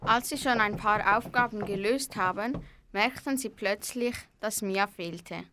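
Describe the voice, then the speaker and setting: A woman's voice: medium pitch, a little slow, sharp tone. A young female narrator reading a scripted amateur radio play.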